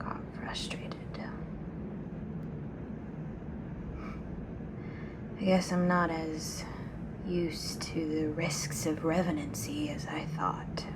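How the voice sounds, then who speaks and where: A woman playing a restless, anxious young woman in an ASMR audio drama, speaking softly and hesitantly, often near a whisper. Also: lowish voice. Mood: downcast, frustrated. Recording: clear background noise, ambient sound.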